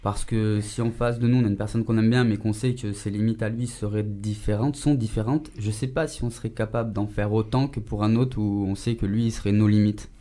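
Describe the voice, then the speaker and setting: A man's voice: on the low side, fairly even intonation, brisk, few pauses, dark tone. A man speaking as a guest in a casual studio discussion, direct and ready to open a debate.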